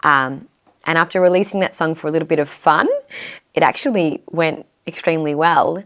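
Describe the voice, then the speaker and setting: Aussie woman in her 20s, low gravelly voice, chatty guest with lots of um's. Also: a bit bright. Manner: chatty, fills pauses with "um", laughs easily. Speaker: guest musician. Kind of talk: casual interview chat.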